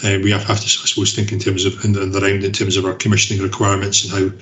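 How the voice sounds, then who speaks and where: A man speaking as an official in a formal meeting, in a halting way with repeated words. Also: sits low, monotone, a bit bright. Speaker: council officer presenting to committee. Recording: roomy, echoing meeting call.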